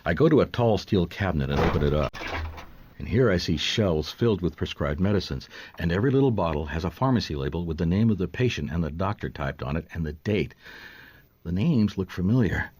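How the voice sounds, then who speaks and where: Low-voiced wry detective. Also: male voice, a little fast, slight background noise. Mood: dry narrating. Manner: sardonic, deadpan quips. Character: wry, wisecracking middle-aged male private detective. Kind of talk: radio drama acting with first-person noir narration.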